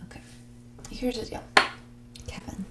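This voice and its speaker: Woman acting a snobby rich girl, whispering slowly. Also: natural voice. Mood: dismissive. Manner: condescending, drawling, bored, valley-girl filler "like". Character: snobbish, mean rich young woman on a first date. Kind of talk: whispered and soft-spoken ASMR roleplay.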